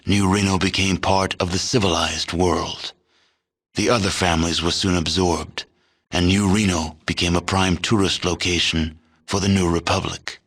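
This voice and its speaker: A man narrating a video game as a grave, detached chronicler of a post-apocalyptic wasteland, in measured, matter-of-fact storytelling. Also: low-pitched, a bit bright. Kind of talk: scripted game ending narration.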